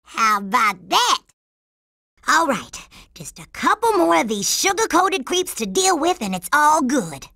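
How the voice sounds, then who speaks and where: Cartoon game role: tough, sassy young girl agent, gravelly and animated, slangy attitude. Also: high voice, measured pace, no echo, clean studio sound, exaggerated voice. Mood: exasperated. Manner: streetwise slang, refers to herself in third person. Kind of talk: cartoon video game voice acting.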